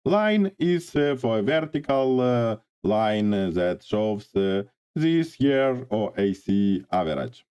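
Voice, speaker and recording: Hoarse man walking through a software tutorial. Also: fairly low pitch, very animated. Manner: halting, frequent "uh" pauses. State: hoarse from a cold. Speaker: data visualization instructor. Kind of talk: screen-share software tutorial.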